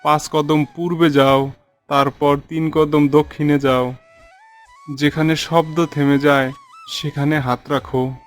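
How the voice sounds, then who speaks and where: A man plays an earnest young adventurer in a cartoon fairy tale, full of wonder. The voice is fairly high for a male and very deliberate in pace, over light music. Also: little pitch movement. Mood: intrigued.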